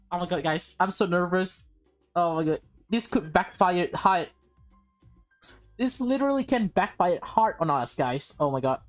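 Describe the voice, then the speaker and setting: A woman with a lowish voice reacts live to a game in an excitable, animated way. Music plays clearly behind her as she talks to her audience like a streamer. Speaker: streamer playing a visual novel.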